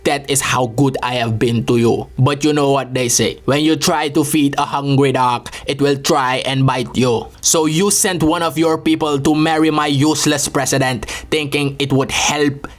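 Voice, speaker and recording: A man's voice: mid-range voice, fairly even intonation, moderate pace, few pauses. A young man, delivering a sarcastic, indignant comic rant as a mock letter to a rival. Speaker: comedic video creator. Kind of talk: mock love letter monologue.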